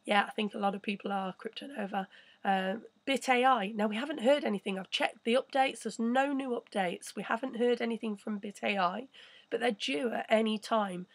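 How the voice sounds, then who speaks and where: A woman hosting a casual live stream, chatting and rambling with a croaky voice. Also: a little high, moderate pace, dry recording. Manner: chatty, rambling, reads viewer messages. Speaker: crypto channel host.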